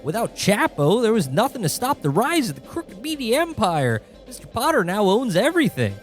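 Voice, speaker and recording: A man's voice: sits high, huge pitch swings, normal speed, faint music behind. A man playing an exasperated guardian angel who explains things condescendingly in a radio-play parody. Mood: mock-solemn. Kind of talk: parody of old-time radio drama.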